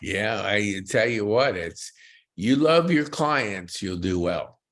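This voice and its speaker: A man's voice: lowish voice, animated, slow pace, a bit bright. A man hosting a podcast interview, chatting in an enthusiastic, folksy way with golf banter. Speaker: podcast host.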